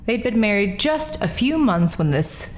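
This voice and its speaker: A woman voicing a brassy young woman in a radio play who is defensive and flippant. Her voice is mid-pitched, quick, and a little cocky. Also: big pitch swings, natural voice. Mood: defiant. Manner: brash, breezy, defensive, slangy. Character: brash, defensive young woman, Ms. Ross, on trial. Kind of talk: radio drama acting.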